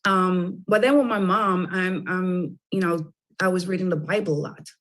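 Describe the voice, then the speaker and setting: A woman's voice: fairly low pitch, steady tone, moderate pace, a bit bright, low-quality recording. A middle-aged woman, a children's book author, talking as a guest in a radio interview, chatty and full of fillers and restarts.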